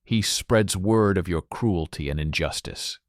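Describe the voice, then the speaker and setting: A man playing an alarmed male servant, gravely accusing, in a storybook parable. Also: low voice, unhurried, no room sound, clean studio sound, voice pushed down.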